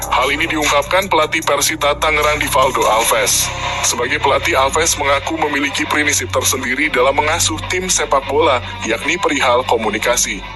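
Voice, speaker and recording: A man with an Indonesian accent reading scripted football news as a narrator, in a formal read-out delivery. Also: fairly high pitch. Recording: music bed under the voice. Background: background music.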